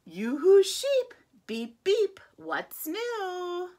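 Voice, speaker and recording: A woman preschool teacher reads a picture book to little children, drawing out every line very slowly. Her voice swoops widely in pitch, sing-song and playful, with gasps as she turns each page. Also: big pitch swings, dark tone. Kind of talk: reading a picture book aloud to young children.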